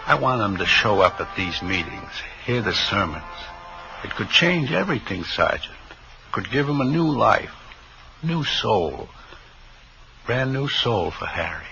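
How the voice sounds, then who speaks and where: A devout, down-on-his-luck man speaks earnestly and hopefully in a low, gravelly voice. It is a 1950s radio drama, with the dull sound of an old recording and music in places. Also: big pitch swings, measured pace, sharp tone, full character voice. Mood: fervent, hopeful.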